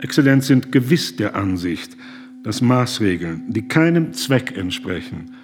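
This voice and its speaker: A man's voice: on the low side, big pitch swings, measured pace. An actor in a radio drama playing an impassioned German humanitarian who pleads earnestly and formally with a man in power. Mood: strained, restrained.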